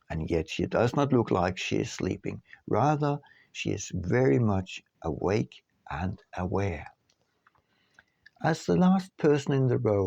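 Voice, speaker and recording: A man narrating an audiobook from a script in a measured, deliberate way with emphatic phrasing. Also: on the low side, creaky, dark tone, dry recording. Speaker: author reading his own book.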